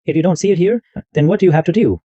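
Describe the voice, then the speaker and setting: Man, tutorial host, step-by-step screen narration, mellow voice, muffled lo-fi recording. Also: on the high side, racing, no room sound. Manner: narrates each click step by step, loose wording. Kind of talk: screen-recorded software walkthrough. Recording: dull lo-fi dry mic sound.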